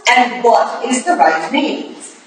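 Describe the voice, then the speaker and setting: The sound is a long, echoing hall with the voice far off. An actress plays a proud Victorian lady, high-pitched, imperious and clipped, a stubborn mentor in a stage drama. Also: lively intonation, slow pace. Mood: insistent. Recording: very echoey hall from far off, faint noise.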